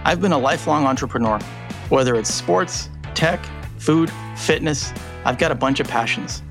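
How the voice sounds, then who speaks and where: A man hosting an interview podcast, upbeat and chatty, often pausing on fillers and restarts. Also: medium pitch, over music. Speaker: podcast host. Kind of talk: casual interview chat.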